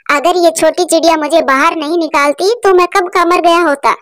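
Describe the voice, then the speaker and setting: Woman voicing a shrill little boy eaglet. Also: fairly even intonation, moderate pace, a bit bright. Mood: earnest. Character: small young boy eaglet, earnest and whiny. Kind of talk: children's cartoon voice acting.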